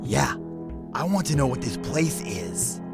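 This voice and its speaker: Man acting a slow, earnest young fantasy adventurer. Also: medium pitch, sing-song, over music. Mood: puzzled. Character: curious young mixed-blood man with oddly shaped ears. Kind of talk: fantasy audio drama acting.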